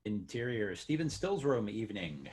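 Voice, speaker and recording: Lively male scene announcer. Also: lowish voice, normal speed. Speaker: announcer of scene headings and captions. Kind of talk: scripted narration.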